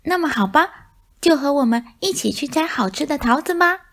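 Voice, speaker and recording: A woman's voice: high-pitched, animated, normal speed, a bit bright, pitched above the performer's own voice. A woman voicing innocent little mouse children in a storybook, eager, friendly and childlike. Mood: curious, friendly.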